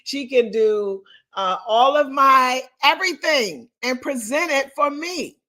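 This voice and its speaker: Raspy, emphatic woman coach. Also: high voice, slow pace. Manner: animated, emphatic, talks straight to the audience. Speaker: real estate coach. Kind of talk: live online coaching session.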